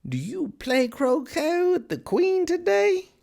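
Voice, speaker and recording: A mad, grinning storybook cat, speaking extremely slowly and stretching each word. The pitch swoops about in a mischievous, teasing way, with a breathy, sometimes whispery edge. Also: big pitch swings, darkish tone, exaggerated voice. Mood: sly, casual. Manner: drawn-out words, swooping and teasing. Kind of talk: storybook character voice.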